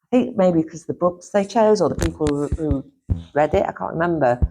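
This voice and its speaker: A woman's voice: low-pitched, lively intonation, brisk, mellow, slight background noise, low-quality recording. A middle-aged woman from Yorkshire, chatting as an interview guest in a rambling way, with frequent laughs and restarts. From Yorkshire, England. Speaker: interview guest, former publisher and teacher. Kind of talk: casual chat.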